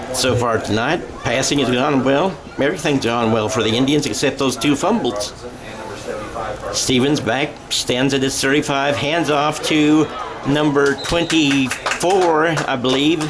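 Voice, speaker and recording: A middle-aged American man calling a football game in radio play-by-play style, with a folksy, self-correcting manner. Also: mid-range voice. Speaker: play-by-play sports announcer.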